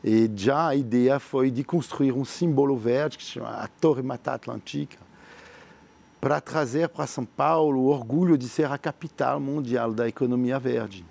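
French man, mellow, halting visionary. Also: mid-range voice, creaky. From France. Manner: earnest, visionary, halting with many fillers and repeated words. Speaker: entrepreneur. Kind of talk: interview answers.

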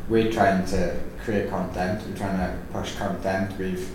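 A man's voice: low voice, level tone, measured pace. A man with a Welsh accent hosting a podcast in a casual, rambling chat full of fillers and restarts. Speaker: podcast host. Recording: echoey room, distant mic, faint noise.

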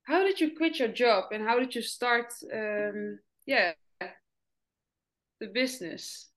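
A woman hosting a podcast in English and feeling her way through each question. She speaks slowly, with many pauses and fillers. Her voice sits somewhat high. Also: lively intonation, fairly bright tone. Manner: hesitant, many ums and uhs. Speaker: podcast host. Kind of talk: interview questions in English as a second language.

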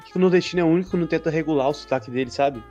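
A man's voice: fairly high pitch, dark tone, lo-fi sound, faint music behind. A man co-hosting a casual podcast, arguing and bantering with his friends. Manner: fast, argumentative banter. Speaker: podcast co-host.

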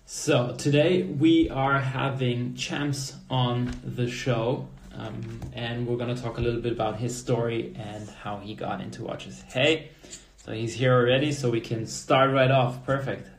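A man's voice: medium pitch, steady tone, a little slow. A man hosting a podcast interview over a video call, friendly and upbeat, often repeating words. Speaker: podcast host.

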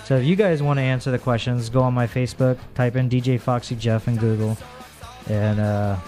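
Deep-voiced casual radio host, rambling. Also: male voice, fairly even intonation, fairly quick, darkish tone, faint music behind. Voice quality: deep bassy voice. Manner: rambling, hesitant, laid-back.

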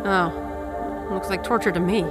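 A woman's voice: medium pitch, steady tone, a little slow, natural voice. A woman playing a casual, naive, curious onlooker in a comic audio drama, with music underneath. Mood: curious, amused. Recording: loud new-age music underneath.

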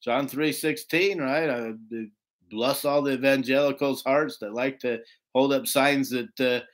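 A man's voice: medium pitch, fairly even intonation, measured pace. A man giving an informal Bible lesson, reading and explaining scripture in an emphatic, rhetorical way that keeps checking for agreement. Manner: emphatic, rhetorical, often seeking agreement with "right?". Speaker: Bible teacher.

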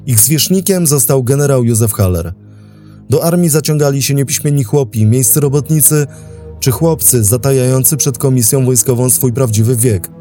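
A man's voice: mid-range voice, moderate pace, mellow, faint music behind. A man narrating a scripted historical documentary. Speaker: host and narrator of a history podcast.

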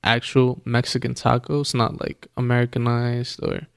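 A man's voice: medium pitch, completely flat delivery, moderate pace, gravelly, clean tone, dry recording, clean studio sound. A man chatting casually as a podcast co-host, laid-back and slangy, laughing often. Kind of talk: casual chat. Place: Los Angeles.

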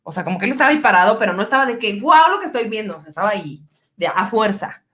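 A woman with a Mexican accent co-hosting a podcast, telling stories in an animated, casual and slangy way. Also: mid-range voice, rapid-fire. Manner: animated, colloquial. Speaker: podcast co-host. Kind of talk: casual chat and storytelling.

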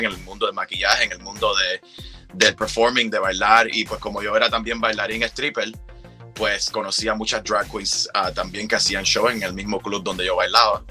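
A man in his thirties from Cuba with a Cuban accent, chatting as an interview guest, relaxed and quick to laugh. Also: fairly low pitch. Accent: Cuban Spanish. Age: 30s. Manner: relaxed, chatty, laughs often, many fillers. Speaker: guest, drag performer and singer. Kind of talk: casual interview chat.

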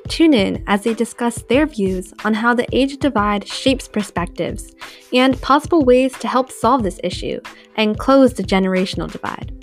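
A woman's voice: on the high side, lively intonation, normal speed, soft background music. A young woman hosting a podcast, reading a scripted introduction. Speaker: podcast host.

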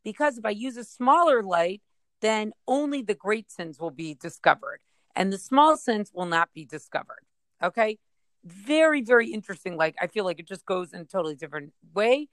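A woman's voice: medium pitch, animated, normal speed, fairly bright tone, dry recording. Woman musing through a Talmud passage, dry sound. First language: English. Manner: thinking aloud with many fillers and self-corrections; reads Aramaic passages then paraphrases. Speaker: study partner. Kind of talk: casual Talmud study discussion.

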